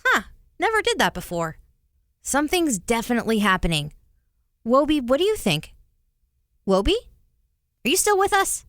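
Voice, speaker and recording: A woman's voice: sits high, huge pitch swings, normal speed, long pauses, a bit bright, exaggerated voice. A woman playing a curious, practical and slightly cautious young boy in a children's audio drama, easygoing and inquisitive.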